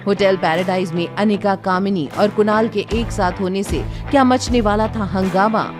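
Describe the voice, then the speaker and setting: A woman narrates a serial drama in a scripted, dramatic style. Her voice is of medium pitch, and music is clearly present behind her. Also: normal speed.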